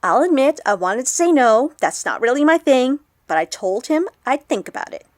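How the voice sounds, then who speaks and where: A woman playing an awkward, excitable young woman vlogger in an audio drama, chatty and expressive. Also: high voice, normal speed, no room sound. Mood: chatty, troubled. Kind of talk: audio drama acted as a confessional vlog.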